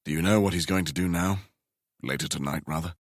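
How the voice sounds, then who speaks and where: A man's voice: mid-range voice, big pitch swings, brisk, a bit bright, no echo, clean studio sound, voice pushed up. A man voicing a blunt team colleague in a plain, level voice.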